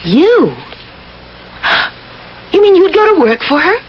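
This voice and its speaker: A woman's voice: very high voice, sing-song, slow, exaggerated voice. An actress playing a timid, honest female social worker in a radio mystery drama, hesitant, apologetic and earnest. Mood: worried. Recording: dull, noisy old radio broadcast.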